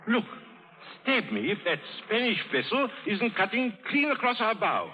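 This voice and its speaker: An actor playing a haughty, excitable titled Englishman with a British accent, pompous and clipped, in a vintage radio drama. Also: sits high, normal speed, lo-fi sound. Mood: curious, excited. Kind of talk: 1930s-40s radio drama acting. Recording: noisy, band-limited old radio broadcast.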